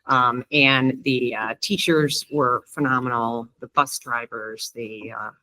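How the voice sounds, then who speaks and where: Departing board member, female, bright voice, halting and filler-heavy. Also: a bass voice, fairly even intonation, slight background noise, rough recording. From New York. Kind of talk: casual meeting remarks.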